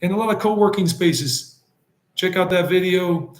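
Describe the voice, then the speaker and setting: A man's voice: high voice, moderate pace, roomy sound. A man hosting a casual live stream, chatting in a rambling way, answering viewer comments and dropping in Spanish words. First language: English.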